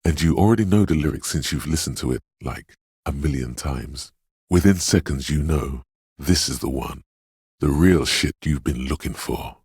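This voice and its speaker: Brooding voice of intuition, video game monologue, heavy creaky fry, close dry sound, hushed, ominous, dreamy, portentous. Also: male voice, a bass voice, no echo, exaggerated voice. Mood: urging, intense. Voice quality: gravelly, husky. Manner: hushed, portentous, theatrical, dramatic pauses. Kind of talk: video game voice acting, second-person inner monologue.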